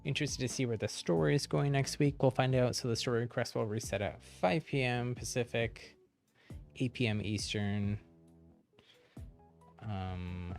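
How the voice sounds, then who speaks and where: A man hosting a game livestream, explaining things casually in a rambling, easygoing way with a gravelly voice. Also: mid-range voice, a little mellow.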